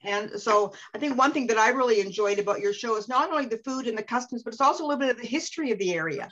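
A woman's voice: on the high side, very fast, fairly bright tone, a little hiss or hum. Gracious woman moderator on a muffled call. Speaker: webinar moderator. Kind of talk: moderating and introducing guests. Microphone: video call. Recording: muffled lo-fi video call audio.

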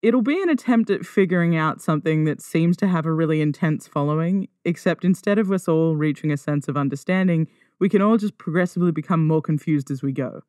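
A woman's voice: low-pitched, brisk, hardly any pauses, darkish tone, no room sound. Wry woman podcaster, dry close sound. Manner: wry, self-deprecating, swears casually. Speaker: podcast host. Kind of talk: casual conversational solo podcast monologue. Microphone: close podcast microphone.

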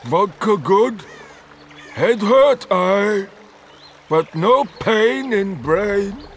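Video game troll: drunk, simple-minded, very slow delivery, broken phrasing, faint noise behind. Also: male voice, high voice, expressive, heavily acted. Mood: drunk, mournful. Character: drunken, grieving male troll guarding a bridge. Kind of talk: video game character acting.

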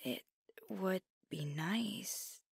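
Woman playing a werewolf girlfriend, husky whisper, very slow, close and dry. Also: medium pitch, no echo, heavily acted. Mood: sleepy reluctant. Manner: slow, breathy, teasing with small laughs. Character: fiery young werewolf girlfriend, stubborn and teasing. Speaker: voice actor. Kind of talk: ASMR roleplay, soft-spoken and whispered.

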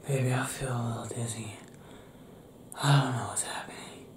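A young man playing a tender, anxious werewolf boyfriend in an ASMR roleplay, breathy and hesitant, speaking intimately up close. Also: mid-range voice, steady tone, moderate pace, a little hiss or hum, natural voice. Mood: tender, worried. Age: young adult. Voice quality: breathy, whispery. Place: small indoor room with pale doors. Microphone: close camera mic, within arm's length. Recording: roomy close-up home recording.

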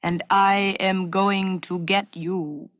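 Woman putting on a slow, hollow-voiced robot boy. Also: mid-range voice, steady tone, dry recording, lightly acted. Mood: mock menace. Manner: slow, stilted, mock-menacing robot talk.

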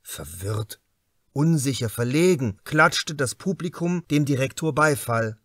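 Guy narrating a crime audiobook, medium pitch, careful dramatic phrasing, really dry recording. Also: animated, measured pace, a bit bright, no room sound. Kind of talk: scripted literary narration of a crime novel.

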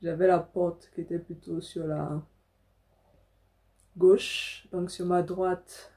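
A woman's voice: lowish voice, little pitch movement, takes it slowly, mellow. A young adult woman from Africa telling a personal story casually to camera as a vlogger, indoors in a small room.

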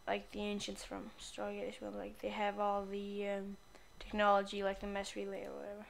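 A woman's voice: mid-range voice, completely flat delivery, fairly quick, vocal fry, a little hiss or hum. A woman giving casual, irreverent video game commentary, playfully muttering asides as she plays.

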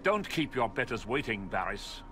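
A man's voice: medium pitch, big pitch swings, normal speed, pushed and bright, soft background music. An actor playing a haughty, impatient nobleman in a video game, with a pompous, theatrical way of talking. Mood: scornful. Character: haughty, impatient male nobleman, contemptuous of underlings. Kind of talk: video game cutscene acting.

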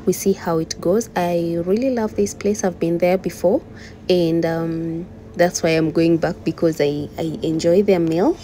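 Young woman, casual vlog, chatty and upbeat, phone at arm's length, warm tone. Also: on the low side, steady tone, unhurried, a little hiss or hum. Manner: upbeat, chatty, addresses viewers directly. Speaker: vlogger. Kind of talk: casual vlog talk to camera. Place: in a car and a restaurant.

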